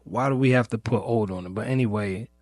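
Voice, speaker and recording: A man hosting a podcast, speaking in a reflective personal monologue, informal and searching, with long pauses, repeated phrases and questions put to himself. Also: on the low side, darkish tone, dry recording, clean studio sound. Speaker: podcast host.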